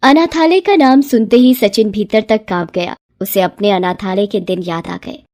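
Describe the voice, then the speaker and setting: A woman narrating a scripted moral story in an expressive, dramatic storytelling manner. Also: mid-range voice, moderate pace.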